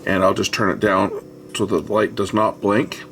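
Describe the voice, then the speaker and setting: A man's voice: fairly low pitch, steady tone, moderate pace, a bit bright, slight background noise. A middle-aged man giving casual, hands-on commentary while demonstrating electronics at an indoor workbench. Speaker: presenter of an electronics repair video. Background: music from tape and player.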